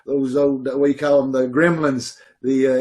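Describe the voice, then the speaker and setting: A man's voice: mid-range voice, level tone, brisk, a little mellow. A man hosting a live online show, introducing the cast in a chatty, loose and laughing way from home over a video call. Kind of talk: casual live sign-off.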